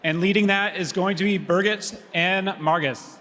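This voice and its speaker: A man acting as conference emcee, making a short, unhurried stage announcement. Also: high voice, sharp tone. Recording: long hall reverb, off mic.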